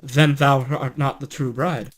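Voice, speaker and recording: A man's voice: medium pitch, level tone, a little slow, natural voice. A man voicing a stern, commanding prince in a fairy tale, speaking in formal, archaic phrases with insistence. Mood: questioning, stern. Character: king's son, stern, commanding young bridegroom.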